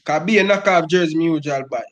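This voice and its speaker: A man's voice: a little high, fast talker, a bit bright. A middle-aged man with a Jamaican Patois accent, speaking as a football fan in an impassioned rant and calling everyone "brother" over and over. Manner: emphatic, repeats "brother" constantly.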